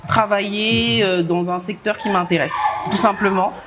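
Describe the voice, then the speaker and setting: A woman's voice: mid-range voice, moderate pace. A young woman who grew up in France, answering interview questions earnestly, with many hesitations and light laughs. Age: young adult. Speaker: entrepreneur guest. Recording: steady background noise.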